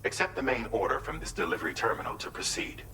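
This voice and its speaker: A man playing a composed mission coordinator in a video game, giving a formal, measured briefing. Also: medium pitch, very animated, lightly acted. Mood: serious, businesslike. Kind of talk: scripted game dialogue, briefing. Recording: roomy echo, distant mic, faint noise.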